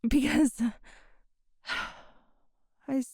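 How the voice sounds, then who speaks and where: A woman voicing a shy, hesitant young catgirl thief in an ASMR roleplay, speaking softly with stammers and little meows, close to the mic. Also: high-pitched, steady tone, very slow, vocal fry, no room sound, exaggerated voice. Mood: relieved. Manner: soft, hesitant, stammering, meows between phrases. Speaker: voice actor.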